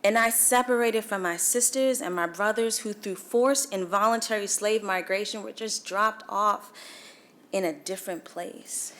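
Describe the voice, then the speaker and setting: A young woman from Guyana with a Guyanese accent, delivering a formal speech at a celebration breakfast in measured, emphatic oratory with dramatic pauses. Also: mid-range voice, fairly bright tone. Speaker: featured speaker. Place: event hall.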